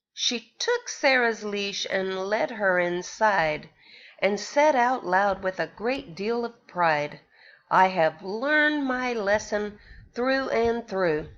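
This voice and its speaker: A woman, a pre-K teacher, reading a picture book aloud to young children and pausing to ask them questions. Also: mid-range voice, dull audio. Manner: slow, sing-song storytelling with questions to the children.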